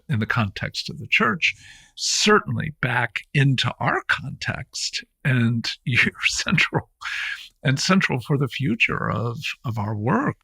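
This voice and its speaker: A man hosting a podcast, talking in an earnest, rambling way with many halting restarts. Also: medium pitch, creaky, a bit bright, dry recording. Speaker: podcast host, founder of the center. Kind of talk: warm conversational podcast interview.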